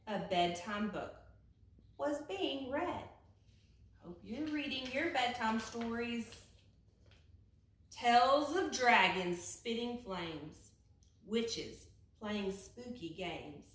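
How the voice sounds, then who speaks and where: A woman reading a children's picture book aloud like a teacher at storytime, with dramatic hushed and raised phrases. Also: on the high side. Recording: echoey room, distant from the mic.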